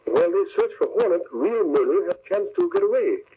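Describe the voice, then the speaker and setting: Watchful valet sidekick, terse broken English, husky, vintage radio recording. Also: male voice, high voice, big pitch swings, a little fast, full character voice. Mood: concerned, questioning. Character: loyal Filipino valet and sidekick, quiet, watchful man. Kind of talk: old-time radio drama acting. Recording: muffled, scratchy old radio recording.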